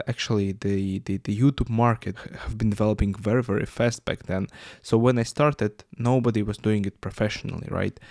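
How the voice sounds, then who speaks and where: Young man with a gravelly voice. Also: on the low side, a little mellow, no echo, studio quality. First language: Russian. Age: 20s. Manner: rambling, frequent fillers and restarts. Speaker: podcast host. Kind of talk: casual solo podcast monologue.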